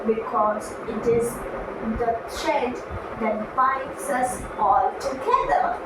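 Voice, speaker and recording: A woman voicing an earnest, devout farmer as a puppet guest in a scripted show, with halting, deliberate phrasing. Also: a little high. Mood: reverent, earnest. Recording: very echoey room, distant mic, noisy.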